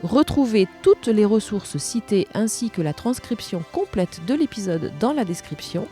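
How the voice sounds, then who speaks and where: Female podcast host, soft dark timbre, mid pitch, measured presenting style. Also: animated, soft background music.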